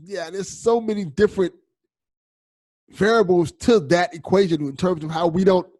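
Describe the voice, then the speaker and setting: A young man hosting a podcast, with a slightly higher voice than most men. He talks in a casual, agreeable and thoughtful way, often circling back and restarting his sentences. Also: steady tone, normal speed, a little mellow. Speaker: podcast host.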